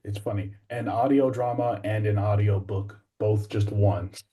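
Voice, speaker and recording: A man with a mellow, relaxed tone and a fairly low pitch chats casually on a podcast. He is a voice actor telling anecdotes in an informal way. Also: unhurried. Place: in a library.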